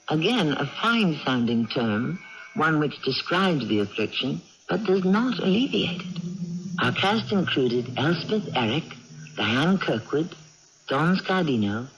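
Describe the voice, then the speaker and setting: A man hosting a 1980s radio drama, reading scripted narration with formal, deliberate, dramatic phrasing. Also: on the high side. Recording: dull old radio broadcast over music.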